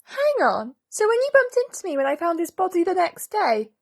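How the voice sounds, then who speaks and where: A dim, excitable villager squeaks along extremely high with a creaky edge. The delivery is naive and incredulous, with swooping comic-drama intonation. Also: female voice, fairly quick, full character voice. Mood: bewildered.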